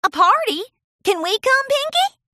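A woman's voice: extremely high pitch, sing-song, moderate pace, sharp tone, no echo, clean studio sound, voice pushed up, heavily acted. A woman voicing an eager little farm filly with a cowgirl accent in a storybook reading. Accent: country cowgirl.

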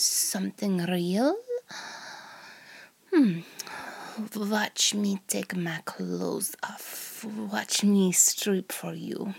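Woman doing a Russian spy seductress, thick put-on Russian accent, husky breathy whisper, slow and gravelly. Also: mid-range voice, heavily acted. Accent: put-on over-the-top Russian. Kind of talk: amateur audio roleplay.

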